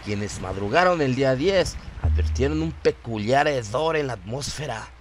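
A man's voice: mid-range voice, lively intonation, moderate pace, slight background noise. A man reading a scripted horror story as its narrator. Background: music behind the voice.

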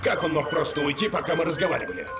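A man voicing an earnest, fussy robot vehicle companion who lectures and scolds in a dubbed animated series. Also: a little high, racing, reverberant, natural voice. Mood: puzzled, scolding. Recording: loud music under voice.

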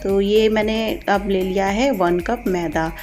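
A woman's voice: medium pitch, fairly even intonation, slow pace, a little mellow, faint music behind. A woman giving informal step-by-step instructions as a cooking channel host. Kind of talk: recipe voice-over, step-by-step instruction.

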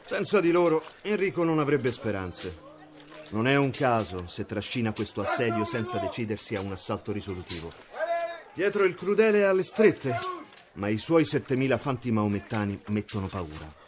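An actor playing a cynical, chuckling mercenary captain in a radio drama. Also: male voice, mid-range voice, big pitch swings, normal speed, crowd noise, lightly acted. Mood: sly. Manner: jovial, sly, chuckling. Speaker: mercenary leader.

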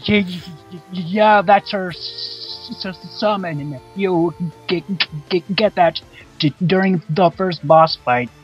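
High-voiced stammering man explaining a game. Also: slow pace. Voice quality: stammering, repeats syllables. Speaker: guest player on commentary. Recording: dull lo-fi voice track, music behind. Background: video game music.

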